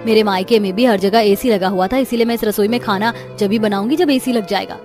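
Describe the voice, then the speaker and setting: A woman's voice: medium pitch, fast, darkish tone, soft background music, lightly acted. A woman voicing a boastful, pampered eldest daughter-in-law in a cartoon story. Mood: whining.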